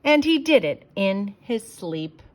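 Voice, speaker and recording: A woman narrates a picture book for children. Her delivery swings widely up and down in pitch, with dramatic emphasis and an expressive, theatrical feel. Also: takes it slowly. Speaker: storyteller reading a picture book aloud.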